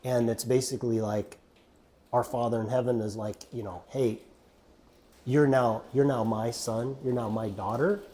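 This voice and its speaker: A man's voice: medium pitch, steady tone, moderate pace, dark tone. A man hosting a casual faith interview, chatty and affirming, with plenty of fillers. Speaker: podcast host.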